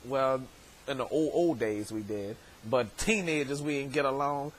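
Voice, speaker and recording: A man in his thirties, hoarse from shouting, hosting a casual vlog from his bedroom on a webcam, rambling with many stammered repeats. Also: medium pitch, moderate pace, slight background noise, poor audio. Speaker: show host. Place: bedroom, indoors. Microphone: webcam at desk distance.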